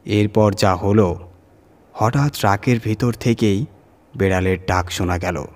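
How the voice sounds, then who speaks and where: A man's voice: on the low side, a little slow, darkish tone. A man narrating a scripted horror story as its reader.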